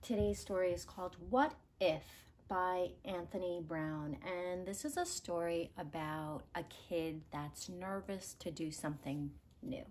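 A woman in her thirties reading a children's story aloud, expressive and deliberate, in a living room. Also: fairly low pitch, a little hiss or hum. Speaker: storybook reader. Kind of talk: children's read-aloud narration. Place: small living room, indoors.

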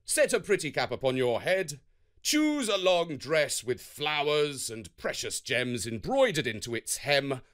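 A man voicing a brutish, bossy thunder god in a children's storybook, forceful and commanding. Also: a little high, very animated, a little slow.

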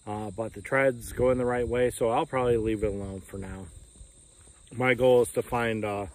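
A man in his forties giving a rambling, folksy walkaround of a tractor, talking into a phone held at arm's length outside on a farm. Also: medium pitch. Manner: rambling, folksy, with fillers like "uh" and "you know". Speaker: homesteading vlogger. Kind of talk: casual walkaround vlog. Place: outdoors on a rural farm lawn. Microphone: phone camera at arm's length.

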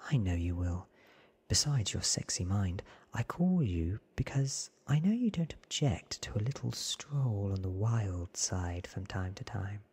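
Storybook vampire woman, played by a man: self-assured, teasing, slow husky ASMR whisper. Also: on the low side, sing-song, a little mellow, full character voice.

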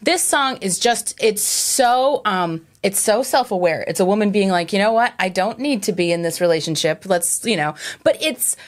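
A middle-aged woman on a casual music podcast in a small studio, talking about songs she loves in an enthusiastic, lively way. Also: mid-range voice, moderate pace, hardly any pauses. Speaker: podcast guest. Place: small studio room with purple lighting.

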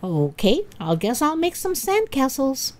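A woman's voice: high voice, sing-song, measured pace, voice pushed up. A woman voicing a mild, easygoing young boy in a storybook reading.

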